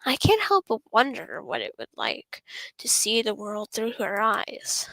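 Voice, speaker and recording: A woman's voice: medium pitch, wildly swooping pitch, measured pace, gravelly, a bit bright, no echo, poor audio. A woman streamer reading visual novel dialogue and narration aloud expressively, stumbling over some phrases.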